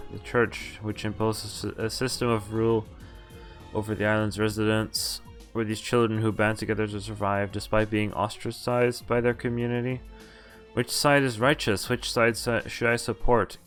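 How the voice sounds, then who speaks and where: A man's voice: lowish voice, fairly bright tone. Man reading a visual novel aloud. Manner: reads plainly, stumbles and corrects himself. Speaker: streamer. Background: game music.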